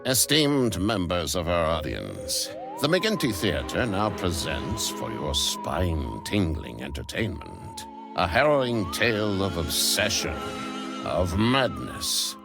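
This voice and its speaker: A man playing a pompous stage narrator in a game, delivering grand, portentous, gravelly melodrama. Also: low-pitched, very animated, slow pace, over music, exaggerated voice. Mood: grand, welcoming. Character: theatrical male stage narrator, ominous and grand.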